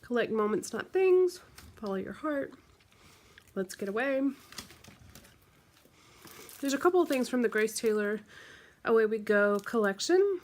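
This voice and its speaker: A woman's voice: a little high, expressive, unhurried, pauses often. Chatty, congested woman unboxing craft supplies. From United States. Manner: chatty, rambling, many ums and likes. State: congested from the flu. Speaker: craft vlogger. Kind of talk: casual show-and-tell unboxing.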